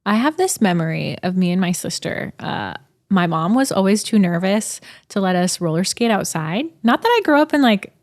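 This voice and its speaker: A woman's voice: mid-range voice, animated, moderate pace. A woman in her late twenties co-hosting a podcast and telling a laid-back childhood story in casual chat. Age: late 20s. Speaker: podcast co-host.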